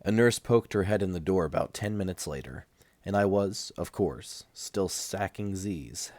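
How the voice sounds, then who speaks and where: A man getting over a cold reads a first-person novel aloud, measured, with dramatic pauses. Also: low-pitched, darkish tone, dry recording. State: recovering from a cold. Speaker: amateur audiobook reader.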